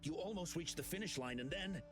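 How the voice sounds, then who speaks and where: A man playing a polite, earnest young official in a video game, courteous and a little hesitant but expressive. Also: a little high, a little fast, natural voice. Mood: earnest, apologetic. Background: game music.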